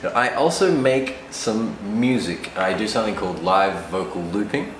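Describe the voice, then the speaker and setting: A man from Australia, a musician, talking casually about his life in a relaxed, wry, self-deprecating way. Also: on the low side, normal speed. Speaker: musician interviewee. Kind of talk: casual storytelling to camera. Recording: roomy echo with background noise.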